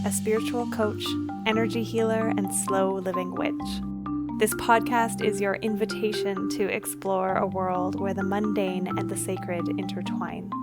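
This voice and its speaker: A woman hosting a spiritual podcast, reading a soft intro and then chatting warmly with her guest. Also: mid-range voice. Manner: soft, gentle scripted intro turning upbeat and chatty. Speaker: podcast host.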